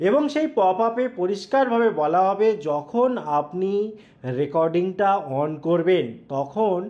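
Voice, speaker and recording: A man's voice: high-pitched, a little mellow. A man hosts a tech tutorial. He explains each step directly to the viewer and stresses key points. The recording is somewhat dull and lo-fi. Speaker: tech tutorial YouTube host. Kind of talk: step-by-step spoken phone tutorial.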